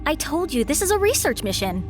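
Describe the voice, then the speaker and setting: A woman acting as an eager robot scientist with a fairly high voice. She is earnest, in a sci-fi audio drama with faint music behind. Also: very animated, brisk. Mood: absorbed.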